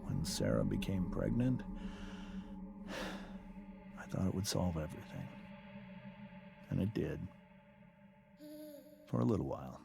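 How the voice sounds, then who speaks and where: Troubled american dad in a video game, deep gravelly voice, halting confession to his daughter. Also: huge pitch swings, loud music behind the voice, heavily acted. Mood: tender regret. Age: middle-aged. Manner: measured, halting, confessional delivery in short phrases. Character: troubled middle-aged father confessing a painful past to his daughter. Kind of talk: dramatic video game monologue.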